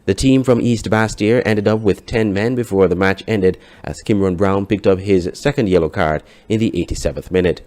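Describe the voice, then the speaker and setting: A Caribbean man works as a newsreader, narrating a sports report from a script. His voice sits on the low side for a man. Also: moderate pace, few pauses, darkish tone.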